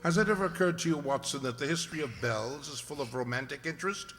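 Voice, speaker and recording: A man acts an erudite English detective in a radio play. His voice is fairly high and crisply forceful, precise and drily teasing. It rings in a long, hall-like reverb. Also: fast talker, faint music behind, close to an everyday voice. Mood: lecturing. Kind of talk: old-time radio drama acting.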